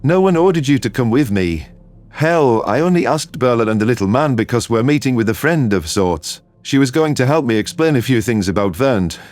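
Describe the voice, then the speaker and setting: A man's voice: mid-range voice, lively intonation, brisk, darkish tone, close to an everyday voice. A man voicing an earnest, easily exasperated male soldier with dry, defensive retorts, in a sci-fi audio story.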